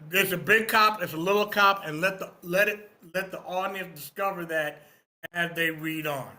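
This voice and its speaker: A man with a high-pitched, forceful voice takes part in a workshop debate. He is opinionated and instructive, leaning on repetition to press each point. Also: fairly even intonation, normal speed. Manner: emphatic, instructive, repeats points for emphasis. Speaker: participant in a screenwriting critique.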